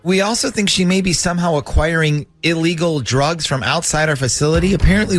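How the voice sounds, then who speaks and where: Male radio host, deadpan prank caller, calm and matter-of-fact, light music faint behind. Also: on the high side. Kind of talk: deadpan prank phone call.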